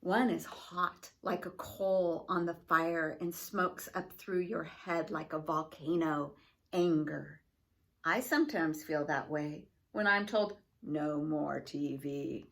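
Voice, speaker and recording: A woman's voice: sits low, slow, vocal fry, pitched below the performer's own voice, full character voice. Woman as a tender, earnest storybook girl. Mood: earnest, explaining.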